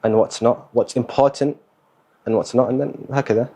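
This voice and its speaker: A young man, talking as a guest in a casual interview, relaxed and hesitant, with many fillers and Arabic words mixed in. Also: medium pitch, normal speed, husky. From Somali, born in the Netherlands, raised in England. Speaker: guest, university student.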